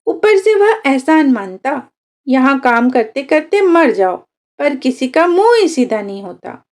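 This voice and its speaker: Storybook role: weary, complaining village wife played by a female narrator, high and slow. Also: sing-song, darkish tone. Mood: weary grumbling. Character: grumbling overworked village housewife.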